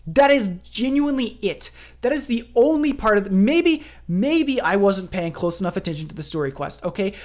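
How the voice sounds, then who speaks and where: A woman's voice: mid-range voice, lively intonation, moderate pace, a little mellow. A woman game streamer giving a ranting commentary, emphatic and repeating phrases for emphasis.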